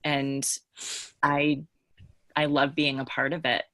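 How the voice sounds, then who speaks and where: A woman's voice: low-pitched, level tone, takes it slowly, a bit bright, dry recording. A woman chatting casually and candidly as a podcast panelist, with an emphatic, informal and gushing way of talking. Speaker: podcast panelist and book editor.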